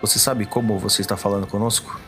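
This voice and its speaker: A desperate man out of the past acted by a man at a roleplay table speaks in a low voice. He is insistent and pleading, deliberate in his wording, with clear music behind him. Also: steady tone, a bit bright, natural voice.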